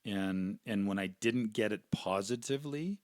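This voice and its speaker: A man's voice: lowish voice, moderate pace, no room sound, studio quality. A former pro hockey player, a man, talking earnestly and reflectively as a podcast guest, often checking in with "right?". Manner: reflective, earnest, peppers talk with "right?" and "you know". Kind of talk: casual interview chat.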